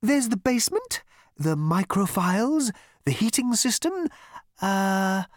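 A man's voice: high voice, huge pitch swings, moderate pace, no echo, studio quality, pitched above the performer's own voice, exaggerated voice. A man voicing a timid talking elevator in a storybook, sweet, over-polite and hesitant as it wheedles. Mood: sweetly reasonable.

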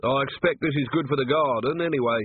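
A man voicing a weary, blunt middle-aged watchman who talks in a dry, low-key way. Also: fast talker, a little hiss or hum, natural voice. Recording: tinny narrow-band, dry.

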